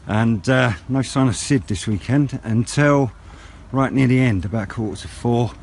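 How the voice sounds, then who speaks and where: A British man chatting casually in a vlog, with a rambling, unhurried, low-key way of talking. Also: creaky, darkish tone, husky. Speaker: radio hobbyist vlogger. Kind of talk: casual outdoor vlog chat. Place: outdoors on wooded high ground by a road. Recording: outdoor noise with passing vehicles.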